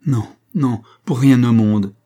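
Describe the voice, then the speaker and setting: A man's voice: on the low side, fairly quick, dark tone, breathy edge. A man voicing a proud, passionate young married woman pleading with her father in an audiobook story. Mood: frantic refusal. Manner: imperious and emphatic, swinging to pleading.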